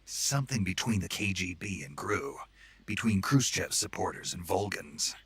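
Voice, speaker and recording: An actor playing a gruff, stoic American special forces soldier in a video game, speaking tersely in a gravelly, husky voice. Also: male voice, animated, moderate pace, a bit bright, heavily acted. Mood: grim, wary. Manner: terse, low-key, serious. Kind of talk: dramatic video game cutscene acting.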